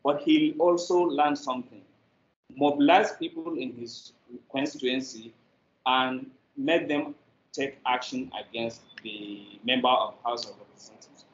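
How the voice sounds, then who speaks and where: A man's voice: mid-range voice, unhurried. A middle-aged man giving an answer as a guest in an interview on a video call. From Nigeria. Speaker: media producer, interview guest. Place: echoey indoor room, on a video call. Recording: roomy, dull, noisy video call.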